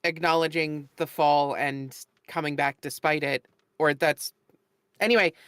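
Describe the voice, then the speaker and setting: Commentator, casual chat. Bright forceful tone, dry close sound, earnest tentative delivery. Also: mid-range voice, little pitch movement, unhurried, clean tone, no room sound.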